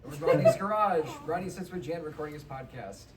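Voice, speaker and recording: A woman's voice: low-pitched, lively intonation, fast, a crowd in the background. A woman reading stage directions as narrator at a comedy table read. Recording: distant mic, audience in echoey room.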